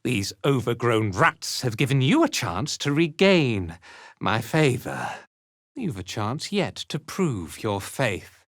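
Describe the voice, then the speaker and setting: A haughty male dark elf zealot from a video game, imperious and menacing. He speaks slowly and deliberately, with pitch that swings theatrically, in a very dry, close recording. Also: very animated, dry recording. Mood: contemptuous, threatening. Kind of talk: video game character acting.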